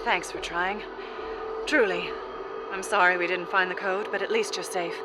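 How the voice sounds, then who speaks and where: A woman playing a composed, clever heroine trapped in a mystery, in measured 1940s radio drama style. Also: lowish voice, fairly even intonation, very noisy, close to an everyday voice. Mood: gentle regret. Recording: clear music behind the voice.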